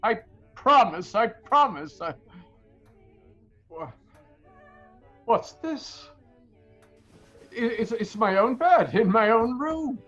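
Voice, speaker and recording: An actor playing a repentant old miser in an audio play, halting and stammering with fervent feeling. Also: male voice, extremely high pitch, expressive, fairly bright tone, lo-fi sound, faint music behind, full character voice. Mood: joyful wonder. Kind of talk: theatrical audio-play acting. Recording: noisy audio-play recording.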